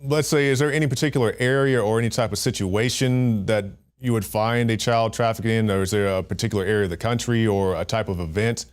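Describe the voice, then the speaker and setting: A man hosting a news segment, reading a measured intro and then interviewing a guest. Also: on the low side. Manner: measured, reads scripted intro then asks questions.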